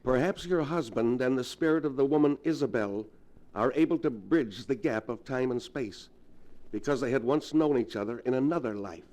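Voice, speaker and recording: Casting: man as solemn learned doctor, mid-range voice, ominous measured storyteller for vintage radio horror. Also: medium pitch, a little mellow, lightly acted. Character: grave, learned doctor versed in the supernatural. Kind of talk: 1940s radio acting.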